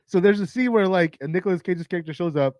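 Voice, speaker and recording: Raspy-voiced man rambling about movies. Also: high voice, darkish tone, no room sound. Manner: profane, rambling, lots of restarts and fillers. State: raspy, tired voice. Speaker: host of a movie countdown. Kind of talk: casual rambling film talk.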